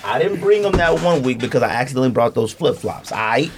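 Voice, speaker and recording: A man chatting casually about sneakers in an animated, emphatic, slangy way, like a podcast co-host. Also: medium pitch, fast talker, a little hiss or hum. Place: podcast studio.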